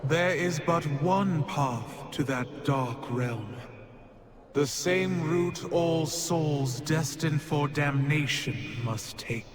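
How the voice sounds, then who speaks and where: A man's voice: on the high side, very slow, over a noisy crowd. A man voicing a solemn otherworldly oracle in a fantasy game, giving grave, formal warnings. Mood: foreboding. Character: solemn otherworldly oracle guarding passage to the dead. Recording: noisy game ambience behind.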